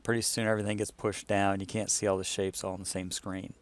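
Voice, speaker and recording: A man's voice: low-pitched, level tone, fast talker. A man talking casually through an on-screen demonstration, informal and thinking aloud as he goes. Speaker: client explaining a design project. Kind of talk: casual screen-share walkthrough.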